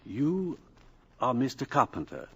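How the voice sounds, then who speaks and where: A man's voice: mid-range voice, huge pitch swings, unhurried, creaky, a bit bright, breathy edge, full character voice. An actor playing an eager, curious older male professor who hesitates and fumbles with fillers in an old radio drama. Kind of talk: 1950s radio acting.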